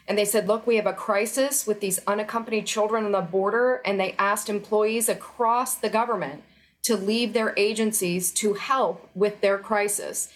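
A woman's voice: medium pitch, steady tone, moderate pace. A middle-aged woman speaking as an interview guest, earnest and urgent, pressing her points with emphasis. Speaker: interview guest, former federal employee. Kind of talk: remote news interview.

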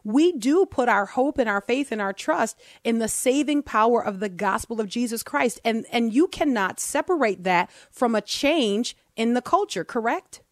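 A woman's voice: fairly high pitch, normal speed, few pauses, dry recording. Playful woman radio host in a dry studio. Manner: animated, playful, joking banter.

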